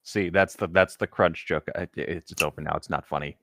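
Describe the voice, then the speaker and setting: A man co-hosting in casual chat, reacting with playful, slightly halting asides. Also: deep voice, fairly even intonation, vocal fry, sharp tone, dry recording. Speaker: co-host.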